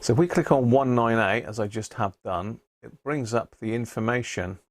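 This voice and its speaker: Male streamer, informal and unhurried, with a creaky edge and dry sound. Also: mid-range voice, dry recording. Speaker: live stream host. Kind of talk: casual live chat, hobby radio demonstration.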